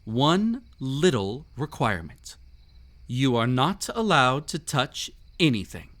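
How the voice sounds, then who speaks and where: A man acts out a mysterious, sinister gentleman of a haunted estate in an audio roleplay. He speaks slowly and theatrically, teasing his listener with whimsical menace. His pitch swoops playfully through drawn-out phrases. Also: very animated. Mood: ominous teasing. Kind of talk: scripted roleplay audio drama.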